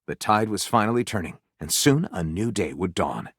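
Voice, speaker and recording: Game role: flamboyant storyteller, highly animated, grandiose narrator who gushes, recorded dry. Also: male voice, moderate pace, husky, no echo, exaggerated voice. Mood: grandiose, dramatic. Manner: grandiose narration switching to gushing excitement. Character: flamboyant, theatrical storyteller co-writing lurid pulp novels. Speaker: video game voice actor.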